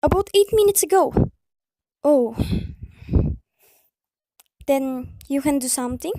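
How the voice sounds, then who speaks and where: A high-voiced, fretful invalid wife acted by a woman in a radio play. She is imperious and melodramatic, pressing her point with anxious indignation, recorded close and dry. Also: expressive, takes it slowly, mellow, no room sound, heavily acted. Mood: agitated, pleading. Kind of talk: amateur radio play acting.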